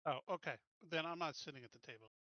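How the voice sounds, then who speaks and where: A man's voice: on the high side, quick pace, no room sound, highs cut off. A man playing a tabletop game, chatting casually and assertively organising tactics with the others.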